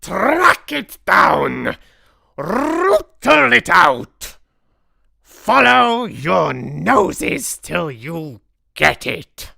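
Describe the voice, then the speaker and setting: A storyteller voicing a tyrannical female head witch, screeching commands in furious, drawn-out words. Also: mid-range voice, sing-song, a bit bright, voice pushed up, heavily acted.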